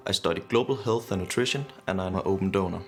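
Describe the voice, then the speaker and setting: A man's voice: low voice, fast talker, over music. A man of twenty-two, speaking as an interviewee. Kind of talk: interview answers.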